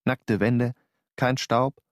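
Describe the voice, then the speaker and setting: An actor narrating a radio drama in the first person, calm and understated. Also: male voice, medium pitch, very slow, no echo, studio quality.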